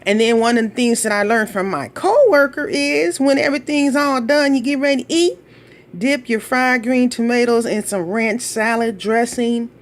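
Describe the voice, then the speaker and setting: A woman's voice: on the high side, moderate pace. A middle-aged woman from Minnesota giving a chatty, folksy cooking demonstration in her home kitchen, talking straight to viewers. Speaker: cooking vlogger.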